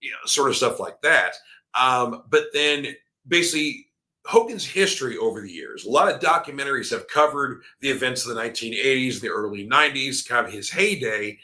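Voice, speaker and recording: A man's voice: mid-range voice, animated, a little fast, bright, forceful tone. A middle-aged man hosting a live-streamed wrestling talk show, rambling and opinionated with lots of fillers, his voice slightly scratchy from a cold. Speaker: wrestling podcast host.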